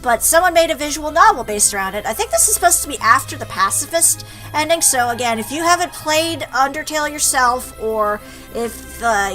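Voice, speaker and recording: A woman's voice: on the high side, sharp tone, low-quality recording. A woman hosting a let's play, chatting playfully and reacting aloud as she reads through a game. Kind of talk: gaming commentary with read-aloud game text.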